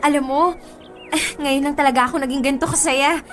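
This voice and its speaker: A woman's voice: high-pitched, steady tone, a little fast, fairly bright tone, soft background music. An actress with a Filipino accent playing a giddy, girlish young bride-to-be in a radio drama, gushing to her friend. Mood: happy, gushing.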